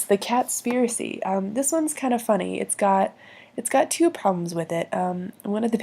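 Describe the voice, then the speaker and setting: Hoarse woman with a husky, gravelly voice. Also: fairly low pitch, dark tone. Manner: hesitant, many ums and restarts. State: hoarse from bronchitis. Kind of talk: explaining logical fallacies.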